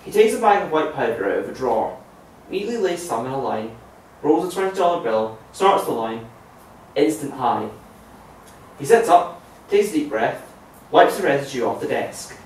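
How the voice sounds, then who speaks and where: Lively male narrator in an echoey room. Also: mid-range voice, moderate pace, long pauses. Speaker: narrator reading stage directions. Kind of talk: scripted narration at a table read. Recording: echoey room, distant mic, faint noise.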